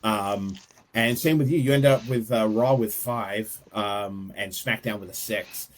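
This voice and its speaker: A man's voice: fairly low pitch, a little slow. A man co-hosting a wrestling podcast in casual chat, talking hesitantly and restarting often. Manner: hesitant, many fillers and restarts. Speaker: podcast co-host.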